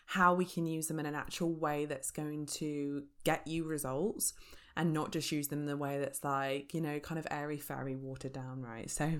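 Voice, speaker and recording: A woman hosting a casual solo podcast, chatty and laughing often between fillers, her voice a little croaky from a sore throat. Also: deep voice. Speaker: podcast host.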